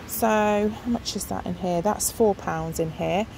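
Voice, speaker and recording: A woman's voice: mid-range voice, measured pace, vocal fry. A middle-aged woman chatting casually as she films aisles in a discount shop on her phone, thinking aloud with lots of ums. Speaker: shopping vlogger. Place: inside a large discount shop. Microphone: phone held while filming. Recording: noisy shop ambience.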